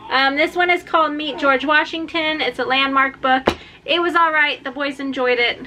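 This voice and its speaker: High, bright-voiced woman chatting casually. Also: normal speed, slight background noise, poor audio. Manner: informal, often breaks off to talk to her small children. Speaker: homeschooling mother presenting books. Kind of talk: casual vlog chat.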